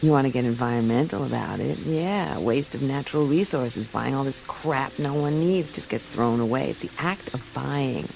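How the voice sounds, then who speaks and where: A woman's voice: extremely low pitch, moderate pace, vocal fry, hardly any pauses, darkish tone, poor audio. An actress playing a tough, wry woman private detective in a radio play, speaking in an offhand, slangy way. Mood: guarded, matter-of-fact.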